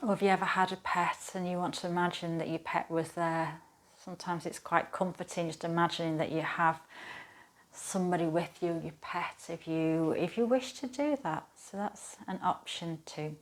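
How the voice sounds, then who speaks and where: A woman speaking as a yoga teacher, guiding a relaxation practice in a soothing, gentle, unhurried manner with pauses. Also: on the low side, fairly even intonation. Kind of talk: guided relaxation, yoga nidra instruction.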